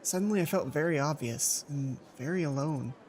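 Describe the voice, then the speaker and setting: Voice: mid-range voice, moderate pace, a little mellow. A let's play host reading a visual novel aloud with casual commentary, stumbling over words and interrupting the narration.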